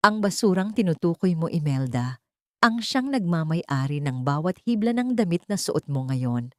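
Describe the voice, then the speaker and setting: A woman voicing a cold, vengeful young mother turned heiress in an audio story, calm, commanding and menacing. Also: lowish voice, normal speed, no echo, studio quality. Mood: quiet contempt.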